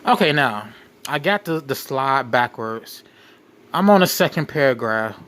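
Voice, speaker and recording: A man hosting a show, reading a document aloud with off-the-cuff commentary in a halting way, repeating and rephrasing as he goes. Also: mid-range voice, fairly bright tone. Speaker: late night show host.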